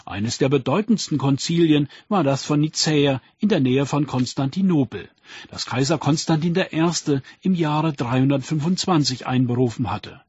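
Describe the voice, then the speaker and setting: A man reading a scripted radio documentary narration in a measured, even way. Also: a little high, husky, no echo. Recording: dull lo-fi radio sound.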